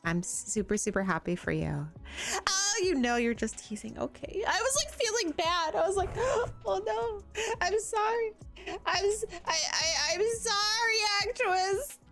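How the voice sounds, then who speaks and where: A woman's voice: very high-pitched, lively intonation, a little slow, a bit bright, soft background music. A woman streaming a game, chatting casually with her viewers in a bubbly, giggly, self-deprecating way, sounding tired.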